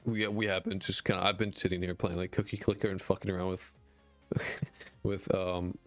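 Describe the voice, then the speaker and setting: A man streaming after twelve hours, his raspy voice worn out, who mumbles and rambles casually with lots of fillers and swearing. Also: sits low, flat delivery, moderate pace, faint music behind. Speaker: indie game dev streamer. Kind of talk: casual live-stream chat reading viewer messages. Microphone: desk mic with a high-pass filter.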